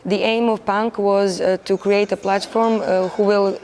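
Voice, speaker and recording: A woman's voice: mid-range voice, little pitch movement, unhurried, a little mellow, slight background noise. A woman from Croatia, a volunteer, speaking in a documentary interview with some fillers.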